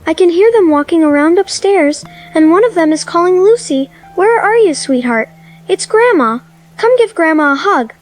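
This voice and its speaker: A woman's voice: very high-pitched, animated, moderate pace, faint music behind. A woman voicing a frightened, innocent little girl phoning in to a horror radio drama. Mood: frightened, pleading. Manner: childlike, innocent, scared.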